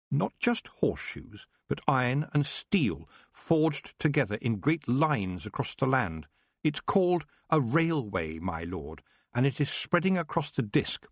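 Young witch girl, played by a man: resolute, defiant, emphatic, raised pitch, fantasy audiobook. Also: husky, no room sound, heavily acted. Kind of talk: audiobook character voice.

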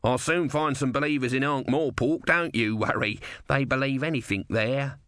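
A man voicing an irascible, insulting god in an audiobook, shouting in exasperation and sarcasm. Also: mid-range voice, normal speed, no room sound, clean studio sound, voice pushed up, natural voice. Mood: grumbling, scornful. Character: irascible male god, shrill, insulting, screaming. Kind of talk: audiobook character voice.

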